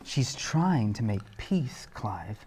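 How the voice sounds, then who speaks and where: A man's voice: fairly low pitch, sing-song, slow pace, darkish tone, slightly rough, exaggerated voice. A man playing an earnest, exasperated police colleague who presses his point in a screenplay table read.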